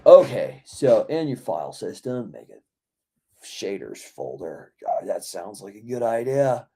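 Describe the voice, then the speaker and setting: A hoarse man losing his voice, casually rambling and thinking aloud as he works through a game dev log. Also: medium pitch, warm tone. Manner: rambling, mutters half to himself. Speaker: indie game developer.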